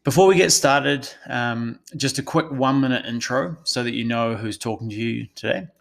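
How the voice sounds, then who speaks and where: A man with a New Zealand accent giving a prepared webinar presentation as a consultant, in a measured, explanatory, professional manner. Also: medium pitch, creaky. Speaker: analytics consultant presenting a session.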